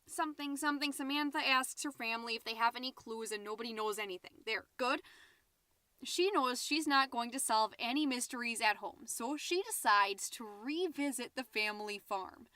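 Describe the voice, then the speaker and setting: A woman's voice: on the high side, moderate pace, sharp tone, dry recording. A woman playing a gossipy, petty, easily flustered middle-aged American suburban mom, chattily hosting her podcast in a scripted comedic monologue. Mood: rambling, distracted. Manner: chatty, indignant, with muttered asides. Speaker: podcast host.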